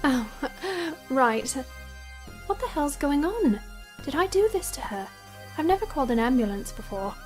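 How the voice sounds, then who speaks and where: A woman's voice: sits high, big pitch swings, a little slow, soft background music, poor audio. A woman playing a sarcastic, bewildered seventeen-year-old girl with dry asides in an audio drama. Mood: incredulous.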